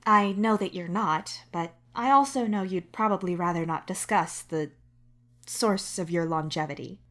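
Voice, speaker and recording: A woman voicing a friendly alien doctor in a podfic, measured, professional and reassuring. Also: fairly low pitch, fairly bright tone, natural voice. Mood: kindly, professional.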